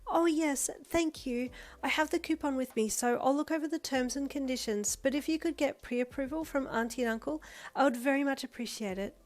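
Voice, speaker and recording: A woman's voice: sits high, a little fast, pitched above the performer's own voice, close to an everyday voice. A woman voicing a formal, very polite young female classmate. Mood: grateful.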